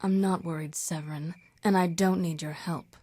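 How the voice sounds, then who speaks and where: A woman's voice: on the low side, fairly even intonation, normal speed, darkish tone, natural voice. An actress voicing a cool, dry-humored half-vampire woman in a game cutscene. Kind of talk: video game cutscene acting. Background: background music.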